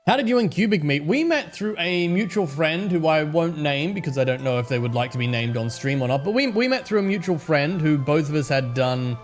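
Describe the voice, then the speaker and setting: Slightly hoarse Australian man, high voice. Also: lively intonation, a little fast, hardly any pauses, faint music behind. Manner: chatty, greets viewers by name, fretting over technical trouble. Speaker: game streamer. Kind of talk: casual live-stream chat to viewers.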